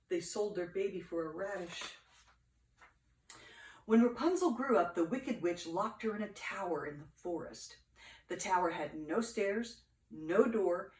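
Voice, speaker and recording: Older woman reading in an echoey room. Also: lowish voice. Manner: expressive, dramatic pauses. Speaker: grandmother reading to grandchildren. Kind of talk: storybook read-aloud with playful asides. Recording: echoey room, distant mic.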